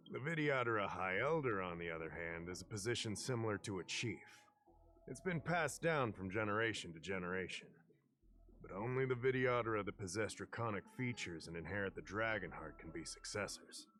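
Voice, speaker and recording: A man playing a courteous, knowledgeable fantasy official in a video game, explaining things in a measured way. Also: lowish voice, animated, a bit bright. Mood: patient, explanatory.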